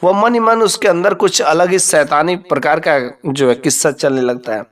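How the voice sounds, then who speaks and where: A man with a fairly high voice tells a devotional moral story. He talks in a colloquial, repetitive storytelling flow with dramatic emphasis. He sounds a little far from the mic. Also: normal speed.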